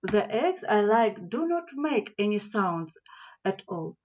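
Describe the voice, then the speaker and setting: Woman doing a slow, hungry badger. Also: medium pitch, sing-song, a little mellow, dull audio, slight background noise. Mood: backing down. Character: sly, hungry male badger, egg hunter.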